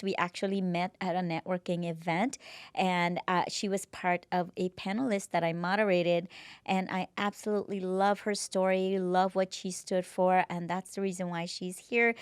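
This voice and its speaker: A woman's voice: medium pitch, clean tone, no room sound. A woman hosting a conversational interview podcast in an effusive, enthusiastic manner. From immigrant to the US from abroad. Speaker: podcast host.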